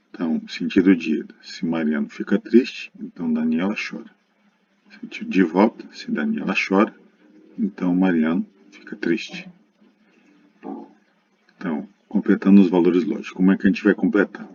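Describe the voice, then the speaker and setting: Low, husky Brazilian male lecturer. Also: darkish tone. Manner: didactic, addresses students directly. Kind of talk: recorded math lesson, explanatory. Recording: dull lo-fi muffled recording.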